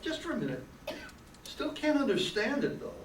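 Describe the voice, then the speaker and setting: A man's voice: very high-pitched, sing-song, moderate pace. An amateur actor playing George Bailey, a restless, stubborn young small-town man in an old-time radio drama. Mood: defensive, awkward. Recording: distant echoey room, noisy hiss.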